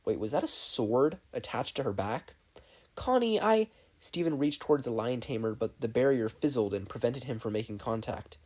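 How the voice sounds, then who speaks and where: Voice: mid-range voice, brisk, hardly any pauses, darkish tone. A narrator reading a scripted story aloud as a podfic reader.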